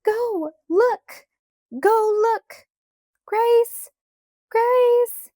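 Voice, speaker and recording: A woman's voice: very high-pitched, very slow, warm tone, dry recording, studio quality, pitched above the performer's own voice, exaggerated voice. A woman voicing a scared young girl in an audiobook, upset and urgent, with a screaming, pleading delivery. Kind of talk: audiobook character voice.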